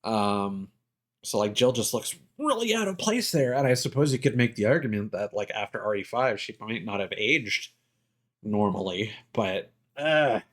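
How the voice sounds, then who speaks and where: A man's voice: on the low side, expressive, normal speed, fairly bright tone. A man giving casual, rambling and opinionated commentary as a game livestreamer. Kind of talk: casual gaming stream commentary. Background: music.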